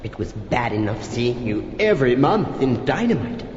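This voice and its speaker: An actor playing a gloating, prankish vampire count in a campy horror comedy record, with a hammy, drawn-out, gleefully sinister delivery. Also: male voice, medium pitch, big pitch swings, a little mellow, close to an everyday voice. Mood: gleeful menace. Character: gloating theatrical male vampire count, menacing prankish villain. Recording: muffled, echoey, noisy old flexi-disc record.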